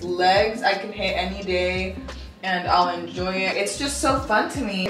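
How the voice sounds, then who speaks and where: A woman's voice: on the low side, distant mic. A young woman in her twenties talking earnestly and casually about her fitness goals as a vlogger. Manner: earnest, reflective, chatty with frequent "like". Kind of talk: casual vlog voice-over and talk to camera. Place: home bathroom and bedroom, indoors. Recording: roomy sound from off the mic, music behind. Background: soft background music.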